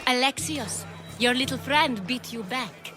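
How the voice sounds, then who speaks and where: A woman's voice: fairly high pitch, animated, unhurried. An actress playing an eager, curious young girl thief in a game cutscene. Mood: apologetic. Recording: game cutscene with faint music. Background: music.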